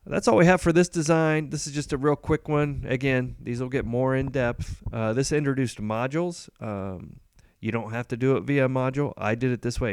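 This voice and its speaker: Easygoing guy walking through his code, lots of ums, warm lowish voice, dry close sound. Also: clean tone, dry recording. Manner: relaxed, rambling with many ums and uhs. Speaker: host. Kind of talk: casual screen-recorded coding tutorial.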